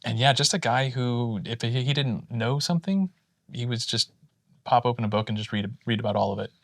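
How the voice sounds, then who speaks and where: A man's voice: medium pitch, brisk, no echo. A man co-hosting a podcast, telling stories in a rambling, casual way with lots of restarts. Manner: rambling anecdotes with many restarts. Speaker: podcast co-host. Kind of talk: casual chat, storytelling.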